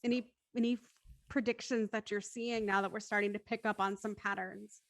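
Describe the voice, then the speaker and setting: A woman's voice: medium pitch, level tone, moderate pace. A woman hosting a casual online panel discussion, effusive and appreciative in how she talks. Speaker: host of an online post-show discussion.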